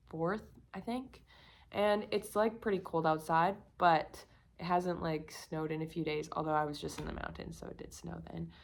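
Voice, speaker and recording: A young woman with a croaky, slightly sick voice, talking candidly and rambling to camera in a casual vlog. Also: fairly low pitch. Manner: rambling, filler-heavy, candid. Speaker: vlogger. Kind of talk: casual confessional talk to camera.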